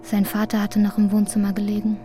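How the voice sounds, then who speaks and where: A woman's voice: medium pitch, monotone, quick pace, warm tone, faint music behind. A woman reading scripted literary narration for a radio drama.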